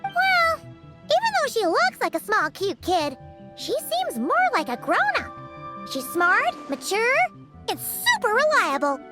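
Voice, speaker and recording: A woman voicing a small, excitable, childlike fairy companion in a video game, chatty and exclamatory. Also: very high voice, unhurried, heavily acted. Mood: inquisitive, chatty. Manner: exclamatory, refers to herself in third person. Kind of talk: video game cutscene acting. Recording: music playing behind the voice.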